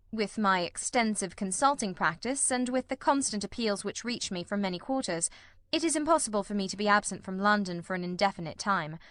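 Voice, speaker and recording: Woman as a gentleman detective, brisk, commanding, self-assured, mid-pitched, dry close recording, classic mystery audiobook. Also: dry recording, lightly acted.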